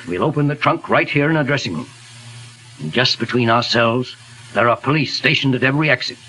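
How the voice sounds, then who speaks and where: An actor playing a steady, kindly older detective with a measured, reassuring manner in 1940s radio style. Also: male voice, mid-range voice, close to an everyday voice, rough recording. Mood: firm. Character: kindly, steady older male investigator, solemn. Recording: hissy 1940s radio recording, faint music.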